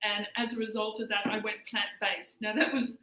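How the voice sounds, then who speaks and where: Bright, chatty Australian woman in her fifties. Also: mid-range voice, level tone, moderate pace. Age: 50s. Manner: chatty, hesitant, many fillers, switches into Mandarin. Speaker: cooking instructor. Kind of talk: live cooking demonstration. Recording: echoey room.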